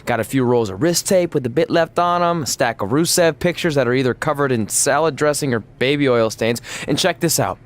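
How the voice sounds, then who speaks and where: A man's voice: mid-range voice, fairly quick, hardly any pauses, natural voice. A man playing a guileless, upbeat oddball buddy. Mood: proud, cheerful. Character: upbeat, oddball loyal friend, proud scavenger. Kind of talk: video game cutscene acting, conversational.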